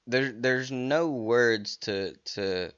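Halting, slow-talking man losing his voice. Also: medium pitch, fairly bright tone, clean tone, dull audio. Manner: rambling, halting, with many restarts and fillers. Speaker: podcast host. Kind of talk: casual solo podcast talk.

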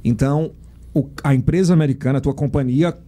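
A man's voice: mid-range voice, a little fast, warm tone. A man from Brazil with a Piauí accent, hosting a podcast and asking questions in an informal, slangy way. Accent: Piauí Portuguese. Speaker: podcast host. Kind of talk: casual podcast interview. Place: podcast studio.

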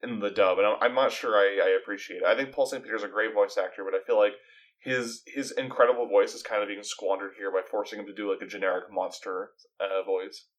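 A man's voice: lowish voice, fairly even intonation, quick pace, fairly bright tone, low-quality recording. A man co-hosting a podcast in casual, opinionated chat, with an emphatic, critical and wry manner. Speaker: podcast co-host.

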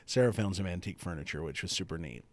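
A man's voice: deep voice, expressive, quick pace. A man co-hosting a podcast, joking and playfully arguing with his co-host in casual banter. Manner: joking, teasing, playfully argumentative.

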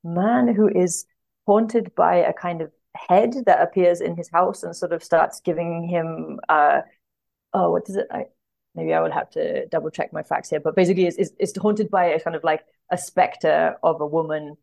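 A woman with a low voice and plenty of vocal fry talks as a podcast guest. She is conversational and thoughtful, feeling her way through each point and rephrasing as she goes. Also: steady tone, normal speed, a little mellow. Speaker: podcast guest, literary translator. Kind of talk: casual interview chat about books.

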